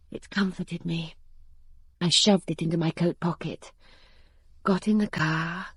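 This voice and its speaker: An actress playing a guarded young bride-to-be who works in a garage, reflective and controlled, in a radio drama. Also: female voice, low-pitched, a little mellow, husky, no room sound, full character voice. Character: young garage-working bride-to-be, guarded, suspicious, narrating her betrayal. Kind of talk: radio drama acting with confessional narration.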